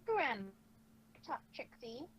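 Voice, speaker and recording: A woman's voice: on the high side, big pitch swings, takes it slowly. A woman voicing a proud, guarded, self-important female unicorn in a cartoon fan story reading. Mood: proud, reserved. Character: proud, guarded female unicorn showwoman speaking in third person. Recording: faint background noise.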